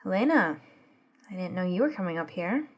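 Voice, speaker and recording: A woman's voice: on the low side, sing-song, brisk, highs cut off, slight background noise. A woman acting in an ASMR audio drama as a brash, defiant young woman with a sarcastic, impatient, informal manner. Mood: sarcastic, bristling.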